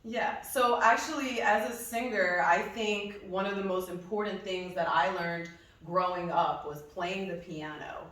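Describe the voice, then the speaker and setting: A young woman from New Jersey whose first language is Hebrew, chatting casually in an interview about her music, laughing often and filling pauses with ums. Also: on the low side, steady tone, fairly bright tone. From New Jersey, USA. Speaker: singer being interviewed. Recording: echoey room, voice from a distance.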